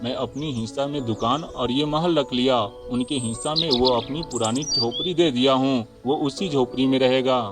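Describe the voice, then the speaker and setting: A man's voice: medium pitch, moderate pace, hardly any pauses, over music, close to an everyday voice. A man voicing a stern, greedy elder brother in a moral story, curt and dismissive. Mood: smug. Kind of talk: animated moral story dialogue.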